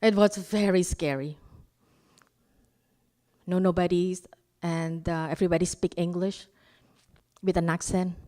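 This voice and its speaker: A woman's voice: on the low side, fairly even intonation, measured pace, long pauses, dark tone. A woman in her forties from Indonesia, with an Indonesian accent tinged with Australian, telling self-mocking stand-up stories and laughing at her own jokes. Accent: Indonesian with Australian traces. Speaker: stand-up comedian. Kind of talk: live stand-up storytelling.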